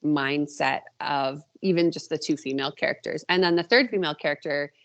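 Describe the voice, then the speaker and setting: A woman's voice: low voice, a little fast, a bit bright. A woman author speaking as a podcast guest, casual and reflective, informally questioning her own choices. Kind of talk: casual interview chat.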